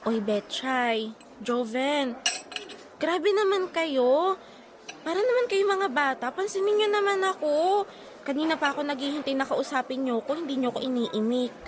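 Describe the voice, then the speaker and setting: A woman's voice: sits high, moderate pace, background noise, close to an everyday voice. A woman playing a chatty, apologetic young friend in a Filipino audio drama, speaking casual slang expressively. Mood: pleading. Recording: loud background music.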